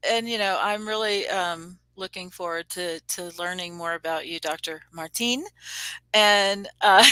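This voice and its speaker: A woman's voice: mid-range voice, bright, forceful tone, no room sound. A woman hosting a casual online chat, rambling with many fillers and restarts. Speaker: show host. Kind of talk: casual video-call chat. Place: home room on a video call.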